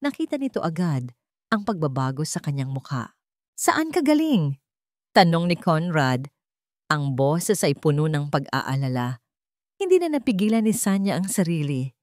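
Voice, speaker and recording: Woman narrator, dramatic story reading, lowish voice, super dry recording. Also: very animated, moderate pace, a little mellow, no echo.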